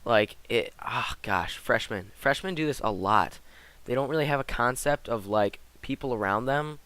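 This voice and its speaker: A teenage boy giving casual commentary over gameplay, slightly hoarse from a cold, rambling with lots of fillers. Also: mid-range voice, moderate pace, a bit bright, dry recording. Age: teens. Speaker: gaming commentator.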